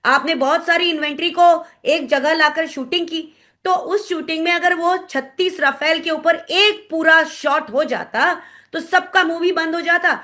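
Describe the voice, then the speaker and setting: A woman with an Indian accent giving analytical commentary in an interview, explaining things and pausing to search for words. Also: high-pitched, bright, forceful tone. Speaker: guest commentator, former pilot.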